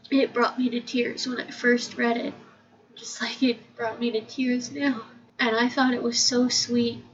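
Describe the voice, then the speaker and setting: A young woman talking softly and sincerely in a personal monologue, close to tears at times. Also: fairly high pitch. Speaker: podcast host. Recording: distant mic, dull sound, steady background hiss.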